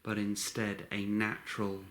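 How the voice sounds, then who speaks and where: A man with a fairly low voice guides a meditation. He speaks very slowly in short, measured phrases. Long pauses separate his calm instructions.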